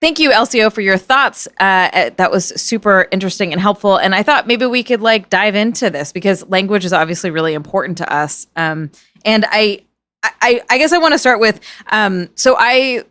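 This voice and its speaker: A woman podcast host talking in casual chat, her voice at a middle pitch. She is chatty and hesitant, full of fillers and restarts. The sound is dry, with no room echo. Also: lively intonation, moderate pace, a bit bright.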